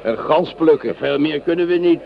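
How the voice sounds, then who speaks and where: An actor playing a grumbling, teasing soldier in a radio play, with a wry manner. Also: male voice, fairly high pitch, normal speed, vocal fry. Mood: mocking. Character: grumbling, mocking male soldier in camp. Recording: dull old radio recording, crowded background.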